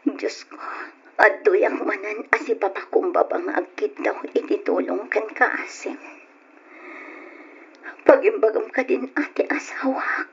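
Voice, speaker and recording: A woman's voice: on the high side, slightly rough, full character voice. A woman playing a proud, hardworking market vendor and wife in her thirties, earnest and emotional in an old-style radio drama. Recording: muffled radio recording, faint noise.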